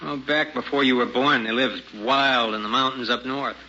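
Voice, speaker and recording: A hard, grim father answers tersely in a forceful, medium-pitched voice. It sounds like a muffled old radio drama. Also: steady tone, normal speed. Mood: grim, dismissive. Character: hard, bigoted frontier father, curt and grim. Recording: muffled old radio recording, some noise.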